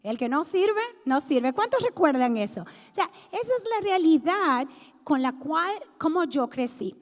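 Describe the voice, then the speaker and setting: A woman's voice: high-pitched, sing-song, unhurried. A woman in her thirties from the Dominican Republic, sharing her personal testimony as a guest speaker in a church, talking conversationally and putting questions to the congregation. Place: church sanctuary. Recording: long hall reverb.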